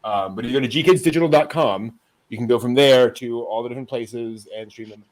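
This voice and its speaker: A man's voice: mid-range voice, quick pace, low-quality recording. A man hosting a news podcast, delivering items in a casual, conversational way. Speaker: anime news podcast host.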